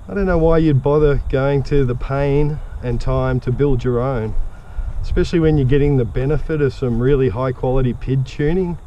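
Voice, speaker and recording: A man's voice: mid-range voice, moderate pace, dull audio, slight background noise. Man reviewing a drone outdoors. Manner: relaxed, plain-spoken, enthusiastic. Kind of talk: casual outdoor product review. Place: open park outdoors.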